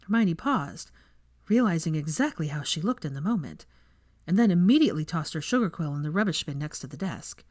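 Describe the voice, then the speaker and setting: A woman reads a story aloud as narrator. Her voice sits a little low yet moves with lively, expressive intonation. It is recorded dry, with no room echo. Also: fairly quick. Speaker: audiobook narrator of fan fiction.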